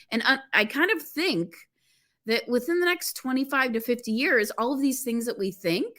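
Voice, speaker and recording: An eager woman speaks as a podcast guest, laughing easily and getting excited over her ideas. Her pitch sits in the middle of the usual range for a woman. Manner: enthusiastic, laughing storyteller. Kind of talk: casual podcast interview.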